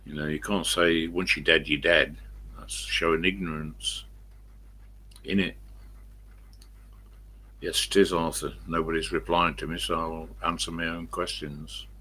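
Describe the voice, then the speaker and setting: An elderly English man with a croaky smoker's voice, rambling casually to viewers on a live stream and answering his own questions. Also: a bass voice, level tone, unhurried, long pauses, pushed and bright, a little hiss or hum. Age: 70s or older. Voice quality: croaky, husky smoker's voice with a cough.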